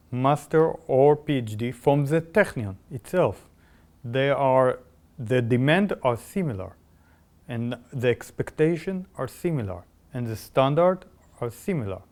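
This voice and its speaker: A man's voice: medium pitch, expressive, measured pace, darkish tone. A man with an Israeli accent speaking as a professor in a conversational podcast, enthusiastic, with non-native English phrasing. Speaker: biotechnology professor.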